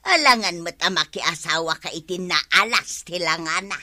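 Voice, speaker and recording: A woman's voice: lowish voice, moderate pace, sharp tone. A woman with an Ilocano accent playing a nagging, complaining wife in a radio comedy drama.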